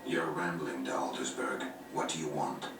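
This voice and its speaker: A man voicing a gruff, cynical male monster hunter who talks in a curt, dry, dismissive way, heard from a video game. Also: very deep voice, steady tone, unhurried, background noise. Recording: game audio from far off in an echoey room, with music.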